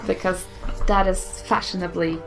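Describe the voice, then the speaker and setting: Tabletop player, woman. Noticeable vocal fry, casual and a bit hesitant, soft music bed. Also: lowish voice, little pitch movement, moderate pace, rough recording. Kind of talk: casual game chat. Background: faint background music.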